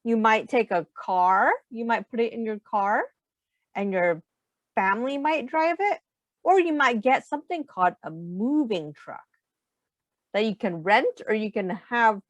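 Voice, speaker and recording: A woman reading a children's storybook aloud in an expressive, simple teacher's manner, pausing to put questions to young listeners. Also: a little high, dry recording.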